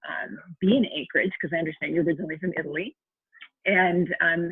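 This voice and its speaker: A woman's voice: on the low side, husky, no echo. A woman hosting a video call interview as an arts center director, curious and stumbling a little over her words. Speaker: host, artistic director. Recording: tinny phone-like audio.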